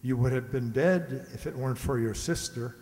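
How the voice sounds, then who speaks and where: Police inspector, played by an older man: mid-pitched, measured, no-nonsense, radio mystery staged in a reverberant hall. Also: a little mellow, close to an everyday voice. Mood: explanatory. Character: matter-of-fact, reassuring male police inspector. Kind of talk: old-time radio mystery acting. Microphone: stand microphone on stage. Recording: echoey hall, live stage performance.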